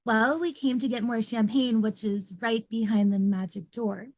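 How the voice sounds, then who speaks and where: A woman's voice: on the high side, fairly even intonation, normal speed, a little mellow, no room sound. A woman playing an anxious, timid, earnest academic in an amateur comedy table read.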